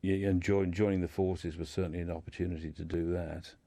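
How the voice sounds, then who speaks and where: Deep-voiced man, halting interview guest. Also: flat delivery, dark tone, no echo. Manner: hesitant, halting, repeats words. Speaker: guest discussing a writer's life and books. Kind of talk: radio discussion.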